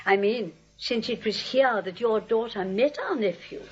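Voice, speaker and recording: A woman's voice: fairly high pitch, measured pace, lightly acted. A woman playing a sweet, chatty elderly spinster aunt in a comic radio play, gushing and politely hospitable. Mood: earnest, fussing. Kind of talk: radio play comedy acting. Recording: dull lo-fi radio broadcast.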